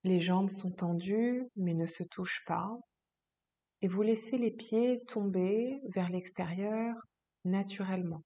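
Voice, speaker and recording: Husky, mellow woman guiding slow relaxation. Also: mid-range voice. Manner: slow, calm, soothing instruction with long pauses. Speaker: yoga teacher. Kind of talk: guided relaxation meditation.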